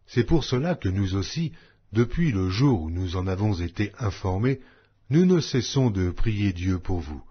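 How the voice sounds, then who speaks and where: Male scripture reader, solemn, declamatory, widely varied pitch, husky tone, slightly dull recording. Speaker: audio Bible reader.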